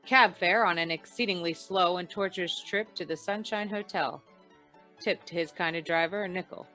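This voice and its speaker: Noir radio detective, played by a woman: lowish register, dry, wry, clipped, hard-boiled, with music in the mix. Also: normal speed, pushed and bright. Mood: wry narration. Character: hard-boiled, wisecracking freelance insurance investigator narrating a case. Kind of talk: old-time radio noir acting.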